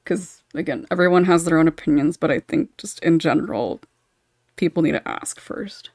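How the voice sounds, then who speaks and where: Female podcast host, casual and chuckly, lowish pitch, very little room sound. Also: normal speed, darkish tone, no room sound, clean studio sound. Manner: informal, chuckles often while talking. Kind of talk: casual panel discussion.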